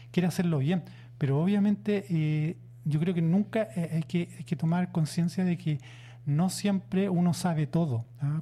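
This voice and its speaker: Guy explaining psych stuff on the radio, super dark warm tone, lots of "eh"s. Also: on the high side. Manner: measured, many "eh" fillers and "¿no es cierto?" tags. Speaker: psychologist guest.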